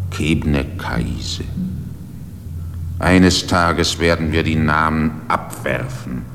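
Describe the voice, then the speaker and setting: An ancient, brooding slate mountain, deep and gravelly. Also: male voice, noisy background, heavily acted. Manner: slow, solemn, half-whispered. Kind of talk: poetic radio play monologue. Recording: long reverb under clear music.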